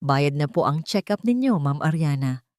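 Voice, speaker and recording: A woman's voice: deep voice, animated, normal speed, darkish tone, dry recording, studio quality. A woman with a Filipino accent voicing a friendly, polite hospital nurse in an audio romance. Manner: polite, smiling, casual.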